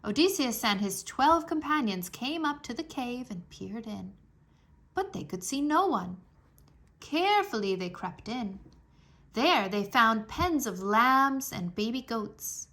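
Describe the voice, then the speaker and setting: A woman with a fairly high voice reads aloud for young listeners as a storybook narrator. Her delivery is scripted and expressive, with clear enunciation and dramatic emphasis on key words. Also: measured pace. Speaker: narrator reading a children's history book aloud.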